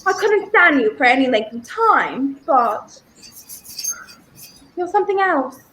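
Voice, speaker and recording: A woman's voice: sits high, very animated, takes it slowly, pushed and bright, a little hiss or hum, full character voice, rough recording. A young woman playing an earnest, prickly romantic heroine in a 1930s-style radio thriller. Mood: grudgingly fond. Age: young adult. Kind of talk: 1930s radio play acting.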